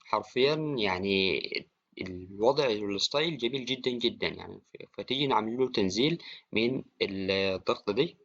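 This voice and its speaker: A man with an Egyptian accent giving an informal software tutorial, talking to the viewer like a friend. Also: lowish voice, normal speed, no room sound. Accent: Egyptian Arabic. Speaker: tutorial presenter. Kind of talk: casual screen-recorded software tutorial. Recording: dry, slightly dull, with clicking.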